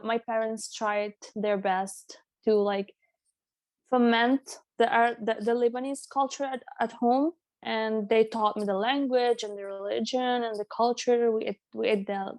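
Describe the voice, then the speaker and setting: A woman's voice: fairly high pitch, darkish tone, no room sound. A young woman from Venezuela talking casually as an interview guest, laughing often and restarting her sentences. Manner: frequent laughter, halting restarts.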